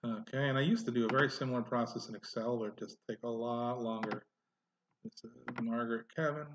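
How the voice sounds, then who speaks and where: A man hosting a casual genealogy software tutorial, thinking aloud as he clicks through, with frequent "uh" and "um" fillers. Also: mid-range voice, steady tone, a little fast, lo-fi sound, background noise. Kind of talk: casual screen-recorded software walkthrough.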